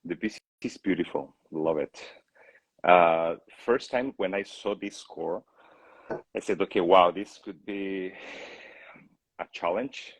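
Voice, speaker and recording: This is a Chilean man with a very low, husky voice and a Chilean Spanish accent. He talks casually as an interview guest about his work as an opera singer. The sound is close and dry, with hardly any room in it. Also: takes it slowly, long pauses, no room sound, poor audio.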